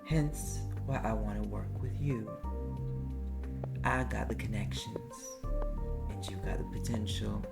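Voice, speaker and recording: A man playing an ancient, suave male incubus in an audio roleplay, with a Southern US accent and a smooth-talking, teasing manner, speaking intimately to the listener. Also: fairly low pitch, unhurried, pauses often, over loud music. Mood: earnest, persuasive. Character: alluring male incubus, ancient suave flirtatious business dealer. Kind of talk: roleplay audio drama, intimate second-person address.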